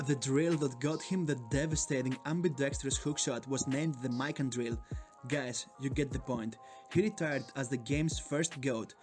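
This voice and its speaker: A man's voice: medium pitch, moderate pace, a little mellow, faint music behind. A man from Greece with a Greek accent, narrating a sports video in a hyped, joking, profane way. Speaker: sports YouTuber.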